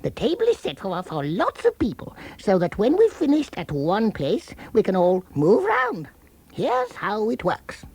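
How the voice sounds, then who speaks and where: Dotty, excitable storybook eccentric: high, hugely swooping voice, stammering and muddled. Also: male voice, a little slow, vocal fry, breathy edge, no echo, exaggerated voice. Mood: explaining. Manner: stammering, spluttering repetitions. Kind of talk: children's storybook character reading.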